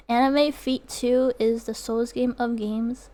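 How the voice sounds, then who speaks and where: A woman's voice: on the high side, steady tone, takes it slowly, dark tone. A young woman streamer whose first language is Chinese, chatting casually with viewers, rambling with lots of fillers and laughter. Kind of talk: casual chat reading viewer comments.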